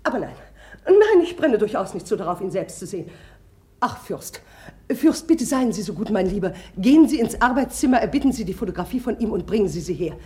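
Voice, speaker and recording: A woman playing an imperious, excitable married society lady in a 1950s radio drama, insistent and theatrical. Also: mid-range voice, brisk, natural voice. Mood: agitated, demanding. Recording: 1950s radio play recording.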